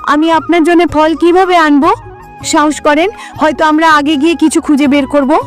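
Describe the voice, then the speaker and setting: A woman's voice: sits high, fairly even intonation, normal speed, faint music behind, natural voice. A woman voicing a kind, trusting young queen in a cartoon story, earnest and polite. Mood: concerned, helpful.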